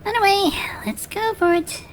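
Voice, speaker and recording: A woman voicing an earnest, excitable female pony magician with a theatrical manner that moves between hushed and shrieking, in an animated fan drama. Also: very high voice, normal speed. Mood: grandiose. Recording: echoey room, long reverb, background hiss.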